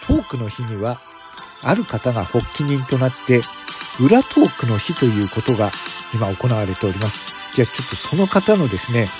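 Man podcaster with a very lively intonation. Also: medium pitch, moderate pace, music in the background. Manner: polite, formal phrasing. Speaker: podcast host. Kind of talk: casual announcement talk to listeners.

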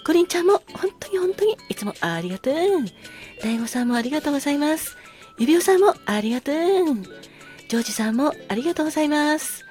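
A woman with a fairly high voice hosts a casual solo talk show over faint background music. She speaks courteously, full of gratitude, with a softly apologetic way of addressing her listeners. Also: sing-song, fast talker. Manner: polite, effusively grateful, softly apologetic. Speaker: podcast host.

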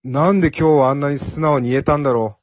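A man's voice: on the high side, quick pace, no room sound, dull audio, natural voice. A man playing a timid, earnest young office worker in an amateur radio drama, with plain boyish phrasing. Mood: grateful, amazed.

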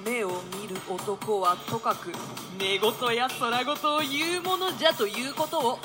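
A woman playing a boisterous, mocking young gentleman in a radio drama, declaiming archaic lines with bantering flamboyance. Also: fairly high pitch, measured pace, music in the background, natural voice. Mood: fanciful.

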